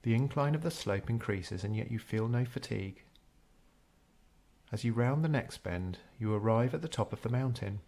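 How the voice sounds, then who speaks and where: A man narrating a scripted guided meditation in a measured, unhurried storytelling way. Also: mid-range voice, animated, creaky, a little mellow.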